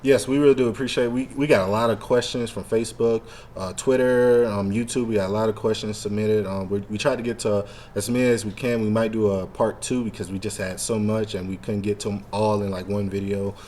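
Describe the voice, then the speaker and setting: A man's voice: fairly low pitch, fairly even intonation, fairly quick, few pauses, darkish tone, slight background noise. A man hosting a casual fan discussion, talking in a slangy, rambling, sometimes profane way. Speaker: show host.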